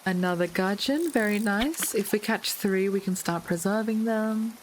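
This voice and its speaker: A woman with a slightly hoarse voice, chatting casually through her gameplay with light puns and frequent ums. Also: medium pitch, moderate pace, background noise. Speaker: gaming video creator. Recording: loud game music with rain sounds behind.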